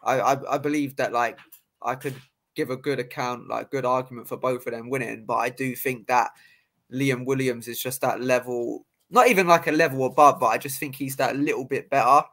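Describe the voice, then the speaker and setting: A man's voice: mid-range voice, fairly even intonation, a little fast, fairly bright tone. A young man, a boxer hosting a podcast, chatting casually with lots of fillers and restarts. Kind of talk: casual chat.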